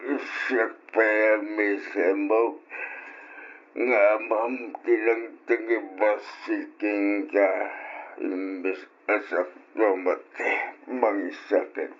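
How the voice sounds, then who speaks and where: A man playing an ailing, weary father in an old-style radio drama. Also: on the low side. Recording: dull lo-fi radio drama audio.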